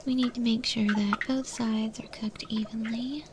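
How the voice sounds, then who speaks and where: A woman voicing a confident eldritch monster chef, whispering softly and instructively in an ASMR roleplay. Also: a little high, fairly even intonation, close to an everyday voice. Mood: patient, instructive. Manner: unhurried, soft whispering, gently instructive. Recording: clear background noise of cooking sounds. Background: cooking sounds, liquid dripping.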